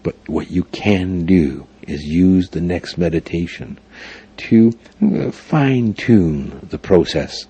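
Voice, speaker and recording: A man leading a guided meditation for a group, speaking in formal, deliberate phrases punctuated by frequent hums. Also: low voice, mellow, slightly rough. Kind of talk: guided meditation instruction before a live group.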